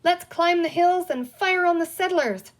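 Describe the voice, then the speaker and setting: A woman's voice: extremely high pitch, measured pace, pitched above the performer's own voice. A woman voicing a playful little pirate captain, theatrical and exclamatory, with salty sailor talk, in a storybook reading. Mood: cheerful.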